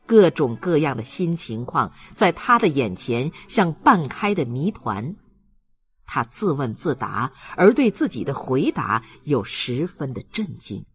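Slow, expressive male audiobook narrator. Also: mid-range voice, breathy edge. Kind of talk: scripted literary narration.

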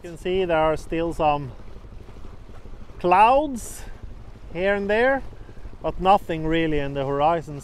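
A man in his forties, vlogging to camera on a sailboat, talking in a relaxed, chatty way with many fillers. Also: on the high side, slight background noise. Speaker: sailing vlogger. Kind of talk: casual vlog talk to camera. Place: sailboat on a fjord, outdoors, then in the cabin. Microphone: camera held at arm's length, then camera on a shelf.

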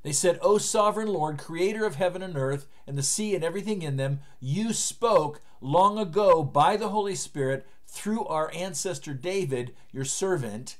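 A man's voice: a little high, animated, moderate pace, few pauses. A man in his fifties, a pastor, giving an earnest, emphatic recorded sermon to the camera from a book-lined home study. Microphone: webcam framing, seated at desk.

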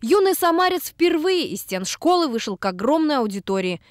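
A woman narrating a TV news report as a voice-over, measured and expressive. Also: high-pitched, no echo, clean studio sound. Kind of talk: scripted narration.

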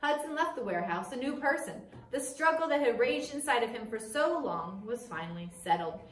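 A middle-aged woman reading a story aloud in a measured, expressive way, recorded at a distance in a small classroom. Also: on the high side. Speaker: teacher reading a book aloud. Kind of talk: read-aloud storytelling from a children's biography. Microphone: camera across a table. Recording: roomy, picked up from a distance.

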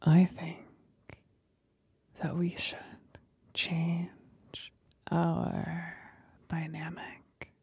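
A woman playing a seductive, mocking demon companion in a whispered ASMR roleplay, speaking breathily with deliberate pauses. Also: fairly low pitch, monotone, darkish tone, slightly rough, no echo, full character voice. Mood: tempting.

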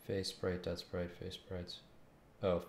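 Low, gravelly man muttering to himself. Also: completely flat delivery, slow. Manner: muttering in short fragments. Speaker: game developer streaming coding. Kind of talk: thinking aloud while coding. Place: small room at home. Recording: roomy, noisy, keyboard clicks. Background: keyboard typing and mouse clicks.

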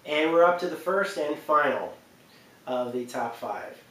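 A man's voice: animated, fairly bright tone. Young man in a very echoey room. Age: 20s. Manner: halting, repeats phrases for emphasis. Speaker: video game reviewer. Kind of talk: casual unscripted countdown commentary. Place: living room, on a sofa. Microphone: camera a few feet away. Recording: roomy, distant mic, faint rumble.

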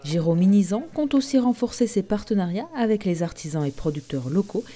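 A woman narrates a local news feature in a measured, read-out way. Her voice is mellow and warm at a middle pitch. It was recorded as a clean voice-over. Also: expressive. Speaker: news reporter.

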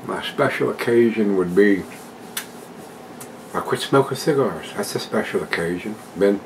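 A man's voice: lowish voice, lively intonation, unhurried, a little mellow, slight background noise, rough recording. An older man at home casually reviewing a beer, chatting to his viewers in a folksy way. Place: home room with a desk and plants, indoors.

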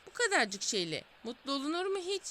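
A woman's voice: high-pitched, big pitch swings, a little fast, sharp tone, slight background noise, pitched above the performer's own voice, heavily acted. A woman voicing a greedy, demanding fisherman's wife in a storybook reading, bossy and complaining.

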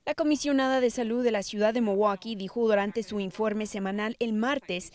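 A woman's voice: a little high, steady tone, moderate pace, a little mellow. A woman in her thirties narrating a scripted television news report as a reporter.

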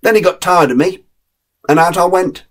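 A man's voice: high voice, moderate pace, fairly bright tone, pitched above the performer's own voice. A man voicing a friendly, streetwise male terrier who gives blunt, knowing advice.

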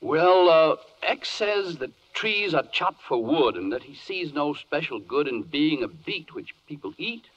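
A fussy, argumentative go-between reels off rhymed objections in an old radio comedy. The voice sits fairly high and swings widely in pitch. The recording is dull and band-limited. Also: male voice, very animated, measured pace, hardly any pauses, background noise. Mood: objecting. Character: fussy male interpreter relaying a client's objections.

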